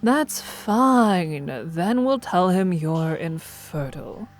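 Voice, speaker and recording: A woman playing a commanding, tender yet scheming goddess queen in a dramatic audio drama, speaking in a measured, persuasive way. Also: lowish voice, animated, faint music behind. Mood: coolly reassuring.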